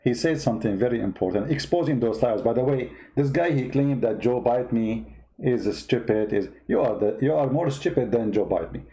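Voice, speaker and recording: A man's voice: mid-range voice, animated, normal speed, mellow, poor audio. A man with a foreign accent who is not a native English speaker, giving heated, mocking political commentary and firing off repeated rhetorical questions. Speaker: host commentator.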